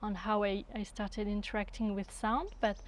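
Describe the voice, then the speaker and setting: A woman in her thirties from France, a guest sound artist talking unhurriedly and often pausing to search for words. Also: mid-range voice, flat delivery. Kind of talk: casual conversation.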